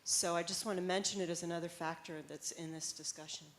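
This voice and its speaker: A woman's voice: on the low side, fairly even intonation, quick pace, slight background noise. An American woman making an eager, explanatory comment from a conference audience. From United States. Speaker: audience member, academic.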